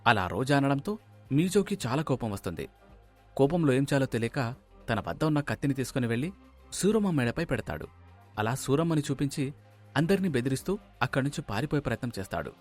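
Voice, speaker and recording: A man's voice: medium pitch, big pitch swings, a little fast, darkish tone, soft background music. A man reading scripted story narration as a storyteller.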